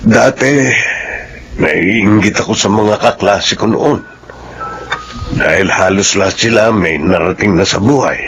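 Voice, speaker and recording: A man's voice: lowish voice, unhurried, long pauses, fairly bright tone, a little hiss or hum. A man playing a humble, grateful middle-aged father in a family drama, speaking earnestly and with emotion. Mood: wistful, proud. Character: grateful, humble father graduating late in life. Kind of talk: sentimental family drama acting. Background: music.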